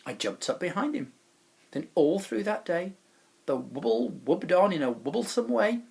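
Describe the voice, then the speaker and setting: A man who is a teacher reads a children's story aloud in an expressive, dramatic way with pauses and emphasis. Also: sits high, creaky.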